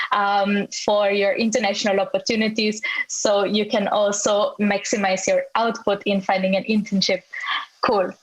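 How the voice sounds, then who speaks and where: A woman's voice: mid-range voice, poor audio. A young woman from Austria giving an online webinar presentation in a friendly way, with many ums and restarts, over a video call.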